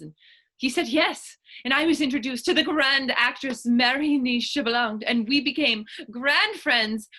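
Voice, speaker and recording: Stage drama: woman as proud, tough Irish orphan girl, actress, higher-pitched, bright forceful delivery, lilting storyteller. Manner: storytelling in lilting old-fashioned Irish idiom. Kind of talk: stage readers theatre acting in dialect.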